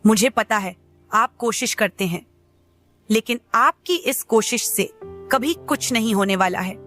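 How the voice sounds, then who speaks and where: A woman's voice: on the high side, a little slow, fairly bright tone, soft background music. A woman voicing a worried village wife and mother in a cartoon, insistent and reproachful. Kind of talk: Hindi cartoon story dialogue.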